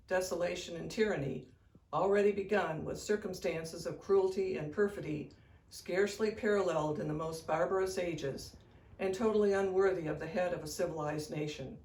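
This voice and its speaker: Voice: mid-range voice, steady tone, normal speed, a little mellow. A person giving a formal public reading of a historic document. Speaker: public reader at a commemorative event. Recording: roomy echo.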